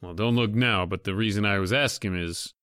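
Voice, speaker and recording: A man's voice: on the low side, a little fast, a bit bright, clean tone, no echo, studio quality, lightly acted. An actor playing a sullen, trigger-happy American gunman in an old-time radio crime drama, speaking in terse, tough slang. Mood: sullen, impatient. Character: trigger-happy, sullen male gunman, robbery gang member.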